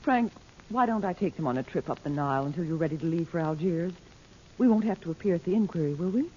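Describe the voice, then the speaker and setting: An actress playing an anxious, determined middle-aged gentlewoman in an old radio serial, speaking earnestly and with feeling. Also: on the low side, expressive, fast, slight background noise, lightly acted. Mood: worried, pleading. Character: Dot, anxious, determined gentlewoman helping the hero. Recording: muffled old radio recording.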